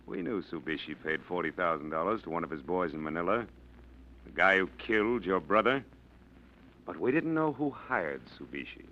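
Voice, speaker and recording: An actor playing a blunt, hard-bitten FBI agent with clipped, commanding lines, in 1940s radio acting style. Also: male voice, low voice, very animated, moderate pace, slight background noise. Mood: cold. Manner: clipped, commanding, tough-guy talk. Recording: dull lo-fi old radio broadcast.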